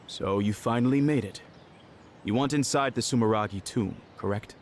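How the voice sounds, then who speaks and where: An actor playing a cool, skeptical, proud young man in a video game, speaking in a measured, formal way. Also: medium pitch, slight background noise, lightly acted. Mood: cool skepticism.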